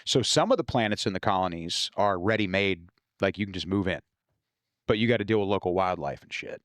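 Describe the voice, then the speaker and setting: A low-pitched American man chats as a podcast guest, an author explaining his work. His delivery is informal and sweary. The recording is dry and close, with little room sound. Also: expressive, fairly quick, fairly bright tone, no room sound. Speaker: guest author discussing own novels. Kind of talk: casual podcast conversation.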